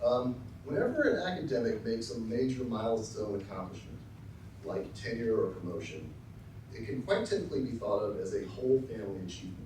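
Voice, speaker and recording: A middle-aged man from Texas giving a formal acceptance speech with dry, self-deprecating humor, often pausing with ums and uhs. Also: fairly low pitch, big pitch swings, a little mellow, a little hiss or hum. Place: large lecture hall. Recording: very echoey hall, voice from far off.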